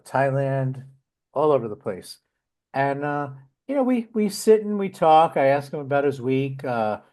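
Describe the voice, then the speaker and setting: A man's voice: mid-range voice, a little mellow. A man, a guest volunteer, talking casually in an interview, with a rambling delivery full of fillers and restarts.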